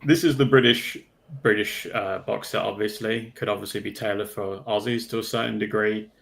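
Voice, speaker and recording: A man's voice: on the low side, steady tone, moderate pace, gravelly, fairly bright tone, poor audio. A man talking casually in a livestream panel discussion, going into detail in a pedantic way. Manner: detailed, self-confessedly pedantic.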